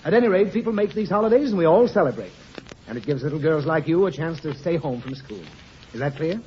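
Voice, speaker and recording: A man's voice: on the high side, expressive, fast talker, close to an everyday voice. An actor playing a long-suffering father, pedantic and flustered, in old radio comedy. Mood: patient explaining. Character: exasperated, long-suffering father explaining things to his daughter. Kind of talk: 1940s radio comedy acting. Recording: muffled noisy old radio recording, studio audience.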